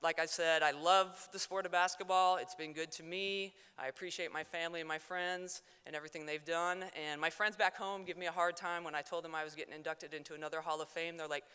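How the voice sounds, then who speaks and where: A young woman with a mixed South Dakota and Texas accent gives a loud, fast, joking acceptance speech at a banquet. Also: on the low side, fairly even intonation, clear, resonant tone. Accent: mix of South Dakota and Texas. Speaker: hall of fame inductee giving acceptance speech. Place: banquet hall. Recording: hall reverb.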